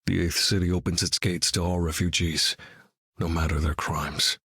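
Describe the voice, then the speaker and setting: A very husky, brooding dark vigilante. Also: male voice, deep voice, moderate pace, no echo, full character voice. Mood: grim. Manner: brooding, dry, deadpan. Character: grim, battle-hardened male vigilante leader with keen hearing. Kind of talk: video game character voice lines.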